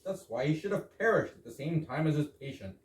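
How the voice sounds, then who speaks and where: A man's voice: on the high side, very animated, brisk. A man playing a fussy, pompous, absurdly opinionated English husband in a staged reading of an absurdist comedy. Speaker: amateur actor in a readers theater. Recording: roomy, distant, noisy live stage recording.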